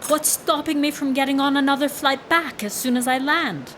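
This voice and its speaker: A woman's voice: high voice, steady tone, normal speed, a bit bright, slight background noise. A woman playing a defiant, downcast young musician in a radio drama. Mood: indignant.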